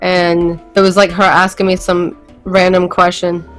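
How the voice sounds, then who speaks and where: A woman with a New England accent tells her side of things as a podcast guest, her low-set voice looping through fillers and half-finished restarts. She sounds casual and unscripted, and faint music plays quietly behind her. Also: completely flat delivery, a little slow. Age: adult with school-age kids. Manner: rambling, heavy on fillers and restarts. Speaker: interview guest. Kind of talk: casual storytelling in a podcast interview.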